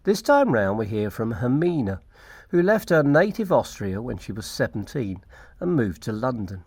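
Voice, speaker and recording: Gravelly man hosting an interview. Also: medium pitch, very animated, moderate pace, darkish tone. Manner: encouraging, frequent short affirmations. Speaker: interviewer and podcast host. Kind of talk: friendly interview.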